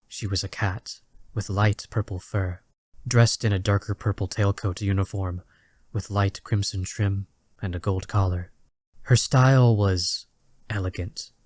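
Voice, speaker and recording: Male narrator, deep voice, flat intonation, measured literary delivery, scripted dramatic storytelling, dry sound. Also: dry recording.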